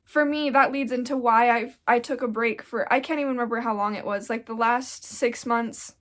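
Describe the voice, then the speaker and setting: A woman's voice: on the high side, fairly even intonation, normal speed. Congested young woman vlogging earnestly. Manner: earnest, rambling, with frequent "like" fillers. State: congested, getting sick. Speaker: YouTuber. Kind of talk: casual sit-down confessional vlog.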